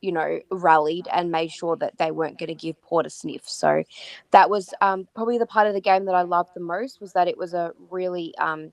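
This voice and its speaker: An Australian woman co-hosting a podcast, her voice croaky from yelling, chatting enthusiastically with frequent ums and restarts. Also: on the low side, steady tone, a little fast, no room sound. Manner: enthusiastic, many fillers and restarts. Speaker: podcast co-host. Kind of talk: casual chat about football.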